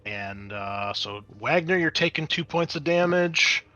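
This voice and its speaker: Male game master, bright forward voice, dramatic tabletop narration that drops into casual rules explanations. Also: on the high side, lively intonation, a little slow, dull audio. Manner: dramatic scene-setting mixed with casual rules talk.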